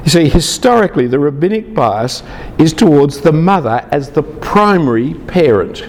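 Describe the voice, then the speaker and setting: A man's voice: a little high, expressive, normal speed, darkish tone, big-room sound, a little hiss or hum. A man from Australia, giving a lecture to a large group, chatty and teasing the audience.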